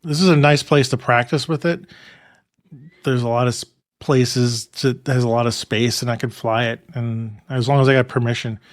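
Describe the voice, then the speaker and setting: Man, from New York, podcast co-host, casual rambling chat, mid pitch, dry sound. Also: normal speed, no echo. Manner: relaxed, rambling with frequent restarts and laughs.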